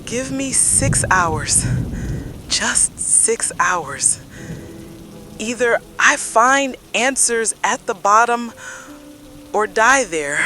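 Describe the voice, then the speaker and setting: A woman playing a deep-sea explorer reporting by radio in an apocalypse drama, speaking in halting, broken phrases with desperate awe. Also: pushed and bright, exaggerated voice. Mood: pleading, grave.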